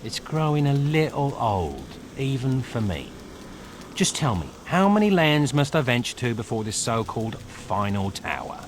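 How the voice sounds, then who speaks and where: A man's voice: mid-range voice, very animated, moderate pace, slight background noise, close to an everyday voice. A man playing a sarcastic, exasperated, self-serving bard hero in a video game, with wry, hesitant asides.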